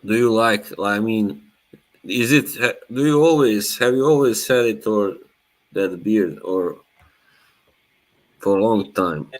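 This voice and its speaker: A young man from Bosnia, whose first language is Bosnian, chats casually on a live stream in a low voice. He talks at a very slow pace and is laid-back, with frequent laughs. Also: low-quality recording. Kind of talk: casual chat.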